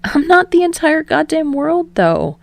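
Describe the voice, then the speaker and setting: A woman's voice: high-pitched, sing-song, a little slow, creaky, no echo, pitched above the performer's own voice, heavily acted. A woman voicing an easygoing, earnest young man who talks in a casual, rambling way in a story reading. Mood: protesting.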